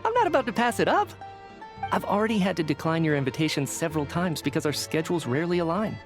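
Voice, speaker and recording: A man's voice: a little high, sing-song, fast talker, music in the background. An actor playing a busy, eager male theater fan in a video game, polite, earnest and slightly flustered. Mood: earnest, admiring. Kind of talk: video game character dialogue.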